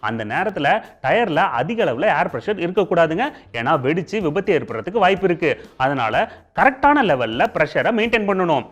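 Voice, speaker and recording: A male TV host with a Tamil Nadu accent and a fairly high voice. His pitch moves around in a very lively way as he explains facts in a conversational style, talking straight to the viewer. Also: a little fast. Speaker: TV show host. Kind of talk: informational presenting in colloquial Tamil with comic asides.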